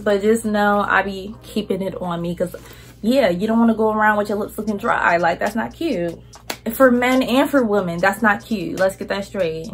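A woman's voice: medium pitch, a bit bright. Chatty, slangy young woman vlogger. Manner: chatty, slangy and blunt, with swearing. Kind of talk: casual chat to camera.

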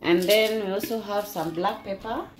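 A young woman vlogger from Kenya, calmly explaining her cleaning and cooking steps in a casual voice-over. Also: mid-range voice, moderate pace. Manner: plain step-by-step explaining. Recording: echoey room with background noise.

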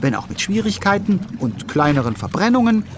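Animated male narrator over music. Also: high-pitched, fairly quick. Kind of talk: lively scripted children's audio drama narration.

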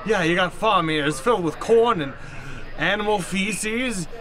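A man's voice: high voice, unhurried, fairly bright tone. A man playing a blustering, eccentric older professor in an improvised radio comedy, theatrical, pompous and scolding. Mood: insistent. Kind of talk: improvised old-time radio comedy acting.

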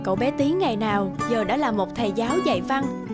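Giọng nữ kể chuyện, hơi cao. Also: female voice, on the high side, expressive, fast. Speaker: narrator. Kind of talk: scripted story narration. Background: music.

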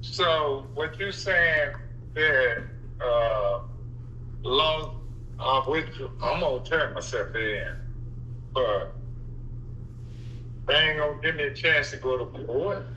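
A man answering questions on a phone call, giving plain, compliant and halting answers. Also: mid-range voice, pushed and bright. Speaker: prank call recipient. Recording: dull distant phone line, faint noise.

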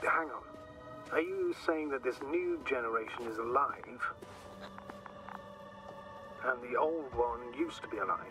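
A man's voice: mid-range voice, unhurried, pauses often, music in the background, lightly acted, low-quality recording. An actor playing an earnest, guilt-burdened male mission commander in a dramatic sci-fi video game. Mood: questioning.

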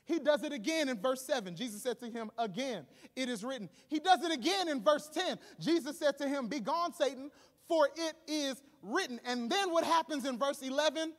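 A man's voice: very high voice, expressive, a little fast, few pauses, fairly bright tone. A man in his thirties preaching as a pastor to a congregation, conversational and emphatic with humorous asides, on a handheld microphone. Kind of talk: animated sermon to a congregation. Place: large stage in an auditorium. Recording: reverberant hall PA sound.

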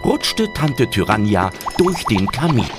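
A man's voice: medium pitch, very animated, unhurried, loud music behind the voice. A man narrating a children's fantasy audio drama as a scripted storyteller.

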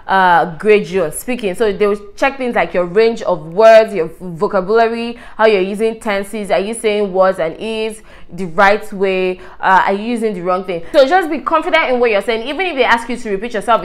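A young woman from Nigeria, a native Yoruba speaker, explains a test in an easygoing, talkative way with plenty of "uh" and "like". She sits at a desk in a bright room and is recorded by a camera a short way off. Her pitch sits in an ordinary middle range for a woman. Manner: chatty, gestures a lot, frequent fillers. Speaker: vlogger giving exam tips. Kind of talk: casual explanatory vlog. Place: indoors in a bright apartment room by a window.

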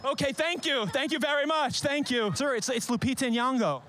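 A man in his thirties hosting a comedy street segment, brashly pitching strangers on a city sidewalk with a handheld mic. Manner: brash, rapid, shouting at passersby. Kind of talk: loud street ambush interviews. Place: busy city sidewalk outdoors. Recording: faint street traffic behind.

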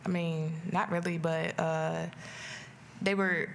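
Low, flat-voiced young woman athlete. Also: slow pace, creaky, background noise. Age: young adult. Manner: low-key, soft-spoken, many ums. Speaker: college basketball player. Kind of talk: postgame press conference answers. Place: press conference room. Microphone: table mic.

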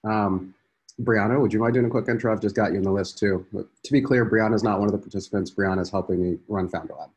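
A man's voice: fairly low pitch, fairly even intonation, quick pace, darkish tone, slightly rough. A man in his thirties hosting a founders' video call, talking casually with many fillers. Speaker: program host. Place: indoor room. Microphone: laptop video call.

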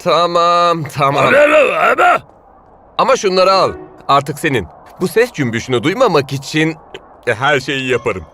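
A man's voice: a little high, animated, a little slow, pauses often, bright, forceful tone, a little hiss or hum. A man voicing a cool young soccer player with a dramatic, emphatic manner in a dubbed cartoon. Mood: resigned.

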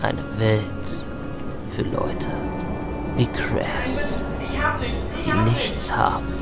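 Slow-paced male audio drama narrator. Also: mid-range voice, huge pitch swings, creaky. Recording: loud music under the voice.

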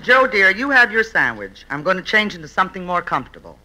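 Possessive faded movie queen, theatrical old radio comedy, piercingly bright, swooping delivery. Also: medium pitch, big pitch swings, fast, poor audio. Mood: imperious. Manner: theatrical, pleading. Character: possessive, melodramatic faded film-star woman.

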